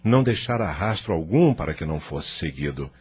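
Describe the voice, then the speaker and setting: A man's voice: extremely low pitch, big pitch swings, a little fast, darkish tone. A man narrating an audio novel from a script in a solemn, theatrical, dramatic manner.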